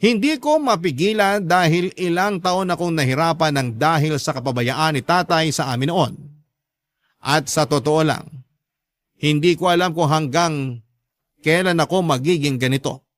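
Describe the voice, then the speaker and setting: Higher-voiced man narrating, very dry studio sound. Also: fairly bright tone, no room sound. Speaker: radio storyteller and host reading letters. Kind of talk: dramatic scripted first-person narration of a letter.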